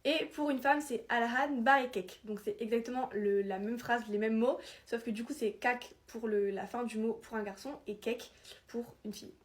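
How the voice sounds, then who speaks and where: Young woman, faint Italian accent, a bit high-pitched, chatty and self-deprecating, casual social video explainer. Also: fairly even intonation, moderate pace. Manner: chatty, self-deprecating, frequent restarts and fillers. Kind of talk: casual explainer talking to camera.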